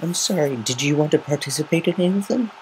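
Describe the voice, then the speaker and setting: An earnest, motherly young female unicorn from a story, acted out by a man. The voice is pushed up in pitch and speaks gently, explaining with care. The homemade recording has a little noise. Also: expressive, quick pace, lightly acted. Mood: concerned, eager. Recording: noisy amateur home recording.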